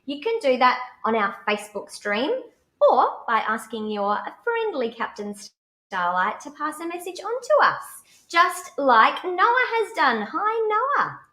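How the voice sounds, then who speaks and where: An Australian woman presenting a live children's show, animated and exclamatory as she speaks to young viewers. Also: high-pitched, measured pace. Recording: roomy, echoey live stream sound.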